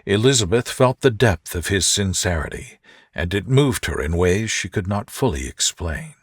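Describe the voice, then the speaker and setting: A man narrating a bedtime story in a soft, gentle, soothing and unhurried manner. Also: low-pitched, expressive, husky, no echo, clean studio sound. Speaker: narrator, reader.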